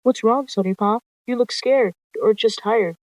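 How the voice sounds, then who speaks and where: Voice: mid-range voice, moderate pace, a little mellow, no room sound, close to an everyday voice, rough recording. A worried tomcat deputy, as voiced by a storyteller, insistent and full of questions. The voice is close and dry, in a roleplay story. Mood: concerned.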